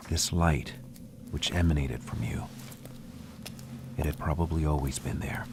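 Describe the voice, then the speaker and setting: An actor voicing a brooding, haunted man who narrates slowly and somberly, dropping into whispers. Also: rumbling low, heavily acted. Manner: slow, somber, monotone, with hushed whispered lines. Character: brooding, haunted man lost in a dark mansion. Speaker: game protagonist narrator. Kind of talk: scripted game narration. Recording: clear ambient noise behind the voice.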